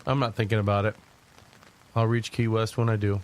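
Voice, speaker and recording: A man playing a guarded, easygoing young hiker who narrates his own journey in a low-key, conversational way. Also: flat delivery, fairly quick, slight background noise, natural voice. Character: John, easygoing but guarded young man hiking long-distance. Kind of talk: first-person audio drama narration and dialogue. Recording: music behind the voice.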